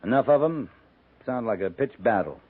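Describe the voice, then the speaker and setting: An actor playing a grim, seasoned frontier army officer, terse and grave, in a 1950s western radio drama. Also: male voice, medium pitch, expressive, moderate pace, husky. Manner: terse, grave, plain-spoken. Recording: thin, tinny 1950s radio recording.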